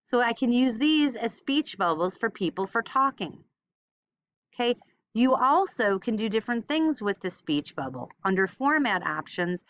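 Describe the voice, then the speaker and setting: A woman teaching a step-by-step software tutorial informally, thinking aloud as she demonstrates. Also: mid-range voice, no room sound, lo-fi sound. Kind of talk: casual screen-recorded step-by-step tutorial.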